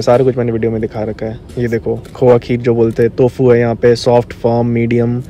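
A man's voice: mid-range voice, mellow, a little hiss or hum. Chatty Indian man vlogging in a store. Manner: chatty, mixes Hindi and English, addresses viewers as guys. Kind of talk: casual Hindi-English shopping vlog. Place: indoor grocery store. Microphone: handheld camera, first-person view.

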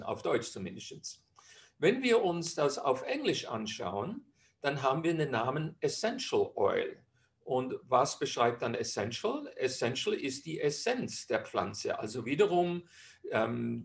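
A middle-aged Swiss man with a Swiss German accent gives an online lecture over slides. His voice carries a gravelly edge at a middle pitch, and he talks in a chatty, explanatory way with frequent hesitations. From Switzerland. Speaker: physician presenter.

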